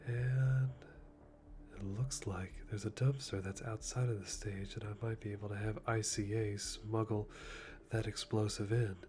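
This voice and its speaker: A man playing a calm, methodical hitman, musing to himself softly and deliberately with dry amusement in an ASMR roleplay. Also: fairly low pitch, lightly acted. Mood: calm, calculating. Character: calm, methodical male hitman planning a kill. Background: music.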